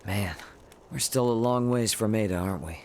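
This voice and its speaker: A man's voice: lowish voice, moderate pace, a little mellow, slight background noise, lightly acted. An actor playing a brash, easygoing young male spacefaring adventurer in a video game, with a casual, teasing manner. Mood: wry teasing.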